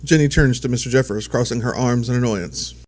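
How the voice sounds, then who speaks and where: A man's voice: medium pitch, a little fast, background noise. A man giving scripted, present-tense narration as the storyteller of an audio drama.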